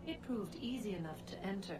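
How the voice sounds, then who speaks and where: A woman voicing a grieving, solemn ancient being in a video game monologue, with measured, dramatic phrasing. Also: mid-range voice, expressive, lightly acted. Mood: solemn, brooding. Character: grieving, solemn ancient goddess-like being recounting a lost past. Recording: music under the voice. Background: game score.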